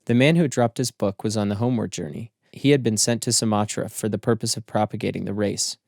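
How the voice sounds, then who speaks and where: A man narrating a literary story as an audiobook, in a measured, formal storytelling manner. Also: fairly low pitch, gravelly, a little mellow, no echo, studio quality.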